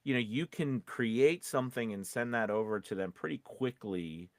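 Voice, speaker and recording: A man co-hosting a podcast, giving casual advice in a halting, rambling way, often restarting his sentences. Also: mid-range voice, no echo, clean studio sound. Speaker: podcast co-host and voice actor.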